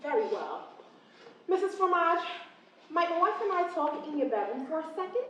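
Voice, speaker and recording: A woman's voice: extremely high pitch, sing-song, moderate pace, fairly bright tone, muffled recording, full character voice. A woman playing a prim, unflappable therapist who was formerly a man, in a stage farce. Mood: flustered. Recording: very echoey hall, distant noisy recording.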